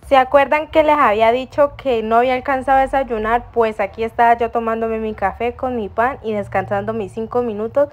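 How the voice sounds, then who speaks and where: A woman's voice: fairly high pitch, steady tone, fairly quick, hardly any pauses. A young woman, narrating her working day in a chatty, upbeat voice-over. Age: young adult. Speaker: vlogger.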